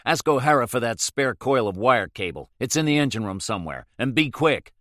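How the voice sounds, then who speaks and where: A man voicing a tough boat captain who speaks in a clipped, low-key way. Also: big pitch swings, brisk, a bit bright, dry recording, studio quality. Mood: tense.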